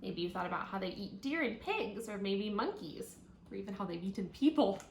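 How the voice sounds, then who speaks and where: A woman teacher reading a children's nonfiction book aloud, thinking out loud and guiding young listeners as she goes. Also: medium pitch, animated, a little fast. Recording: roomy echo, audible background noise.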